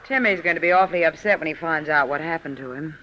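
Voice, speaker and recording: Imperious, bright-voiced movie star. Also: female voice, low voice, fast. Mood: cool, teasing. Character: imperious, coolly flirtatious glamorous movie actress. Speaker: radio drama actor. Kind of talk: old-time radio drama acting. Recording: dull, noisy old radio recording.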